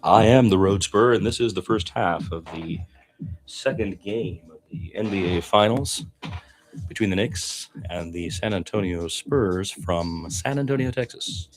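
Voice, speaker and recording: A man with a low voice works as a basketball play-by-play commentator over music. He calls the game off the cuff and adds his own opinionated, reflective commentary. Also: a little slow, low-quality recording.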